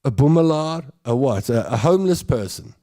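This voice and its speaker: A South African man hosting a rambling, jokey monologue, laughing at his own stories. His pitch moves a lot, and his tone stays warm and mellow. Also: lively intonation, moderate pace. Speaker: host. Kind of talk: comic monologue.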